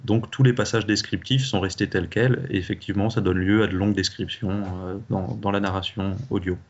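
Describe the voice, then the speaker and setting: Low-voiced man, muffled lo-fi sound. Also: steady tone, fairly quick, slightly rough. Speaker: interview guest, audio drama creator. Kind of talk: casual interview answers.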